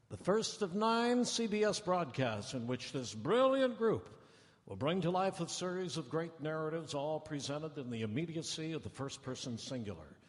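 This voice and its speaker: A man giving formal, projected announcements in the style of 1930s radio. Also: fairly high pitch. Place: large town hall stage. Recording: noisy live hall, crowd, long reverb.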